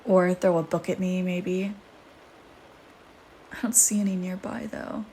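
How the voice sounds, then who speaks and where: A woman's voice: lowish voice, flat delivery, a little slow, mellow, a little hiss or hum, lightly acted, rough recording. A woman playing a flirty, teasing vampire in an intimate audio roleplay, with a sardonic, casual manner. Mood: fond, teasing. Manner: sardonic, teasing, casual murmuring with sighs and whispered asides.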